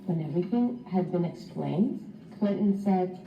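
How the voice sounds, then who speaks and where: A woman teacher reading a children's storybook aloud in an expressive, instructive way for young pupils. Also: lowish voice, unhurried, gravelly, faint music behind. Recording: roomy, distant mic, slightly dull.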